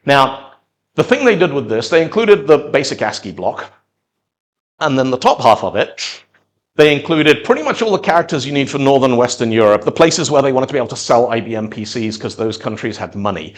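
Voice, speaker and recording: A middle-aged man speaks as a conference presenter, in a conversational storytelling style. His pitch swings widely around an average register, and he leans on emphatic pauses to land his points. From born or raised in Zimbabwe, moved to the UK at ten. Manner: animated, acts out imagined reactions with emphatic pauses. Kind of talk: tech talk to an audience, conversational storytelling.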